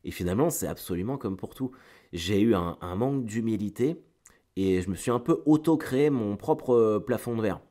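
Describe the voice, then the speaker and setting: A middle-aged man speaks in a fairly low voice, a little stuffed up a cold. He chats informally like a solo podcaster, wandering into asides, repeating words and searching for phrasing. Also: lively intonation, moderate pace, dark tone. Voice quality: slightly congested, stuffy nose from a cold. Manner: informal, digressive, hesitant with repeated words, slangy. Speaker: stand-up comedian hosting a solo weekly show. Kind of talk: casual solo podcast chat to listeners.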